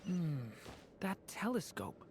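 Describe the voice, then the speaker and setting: A woman's voice: lowish voice, big pitch swings, slow, darkish tone, slight background noise. A woman voicing a resolute, thoughtful young dragon boy adventurer in a video game. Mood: earnest, determined. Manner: thoughtful, earnest.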